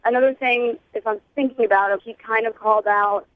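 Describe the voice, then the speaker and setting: A woman's voice: fairly high pitch, fairly even intonation, brisk, dry recording. A woman calling a radio show on a phone line, chatting casually in a hedging way full of filler words. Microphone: telephone.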